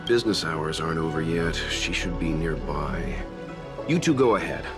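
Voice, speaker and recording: A composed young skeptic in a video game, his voice sitting low. He speaks in a level, matter-of-fact way with dry humor. Background music runs under the scene. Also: animated, moderate pace. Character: calm, reserved young man, dry skeptic. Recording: game cutscene with music behind.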